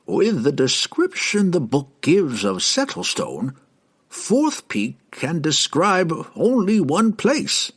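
A man voicing a wise, learned old herald in a fantasy audiobook, explaining things in a knowing, deliberate way. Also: a little high, huge pitch swings, a bit bright, breathy edge, voice pushed up, full character voice. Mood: scholarly.

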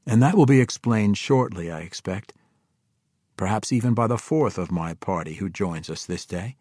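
A man voicing a courteous dark elf ranger in a fantasy audiobook, speaking calmly, formally and deliberately. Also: on the low side, no room sound, clean studio sound, close to an everyday voice. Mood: assured.

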